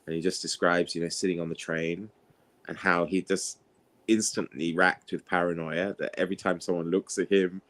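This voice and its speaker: Casual male talker, low register, loose anecdotal delivery for an informal book discussion. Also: fairly even intonation, moderate pace, fairly bright tone. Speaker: participant in an online book discussion. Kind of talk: casual chat, anecdotal storytelling.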